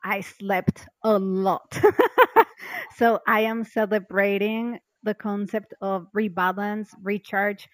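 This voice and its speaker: A woman's voice: mid-range voice, little pitch movement, slow pace, dry recording. Bubbly chatty woman podcast host. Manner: bubbly, chatty, laughs often. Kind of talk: casual podcast interview.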